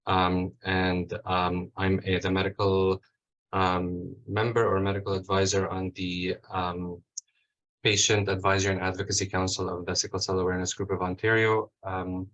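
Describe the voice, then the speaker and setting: Male hematologist, deep monotone, hesitant with fillers, dull video call sound. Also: moderate pace. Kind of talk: self-introduction on a panel.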